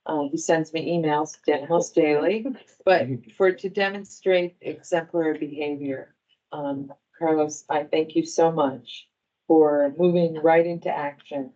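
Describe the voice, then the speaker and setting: A woman speaking as a medical center director, giving an informal, halting and appreciative award speech to a group. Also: sits low, steady tone, warm tone, lo-fi sound.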